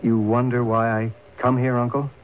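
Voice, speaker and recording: A man's voice: mid-range voice, level tone, close to an everyday voice. An actor playing a proud, earnest young man torn between two peoples, speaking formally in an old-time radio drama. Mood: respectful. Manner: formal, deliberate phrasing. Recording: tinny, hissy old radio broadcast.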